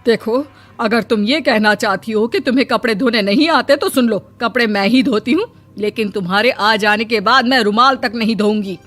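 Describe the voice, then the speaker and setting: Woman playing a bossy middle-aged saas, kinda high voice, soft music under. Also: normal speed. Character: proud, quick-tempered middle-aged mother-in-law. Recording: faint music behind.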